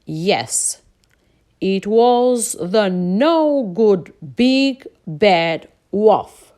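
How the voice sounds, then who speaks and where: A woman storyteller reading a picture book aloud to children in an expressive, deliberate way. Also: mid-range voice.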